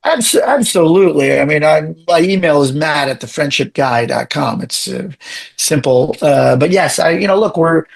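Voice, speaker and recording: A man with a raspy voice, talking animatedly as a podcast guest, with lots of restarts and quick bursts. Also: on the high side, lively intonation. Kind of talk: casual interview chat.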